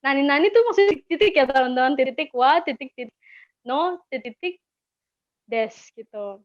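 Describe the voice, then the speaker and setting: A woman's voice: high voice, animated, normal speed, no echo. A woman with an Indonesian accent giving an informal language lesson, chatty and encouraging, repeating key words for learners. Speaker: webinar speaker teaching languages. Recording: dull lo-fi webinar audio.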